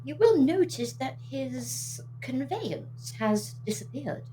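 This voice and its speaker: A woman as a very high-voiced, deliberate councillor. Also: big pitch swings, mellow, exaggerated voice, poor audio. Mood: suspicious. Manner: deliberate, formal. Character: suspicious, solemn female councillor. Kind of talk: audio drama acting. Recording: faint hum behind.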